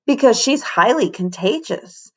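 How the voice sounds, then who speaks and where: Woman playing an anxious mother, raised pitch. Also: expressive, measured pace, fairly bright tone. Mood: explaining. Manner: warning, explanatory. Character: protective, anxious mother of a small child.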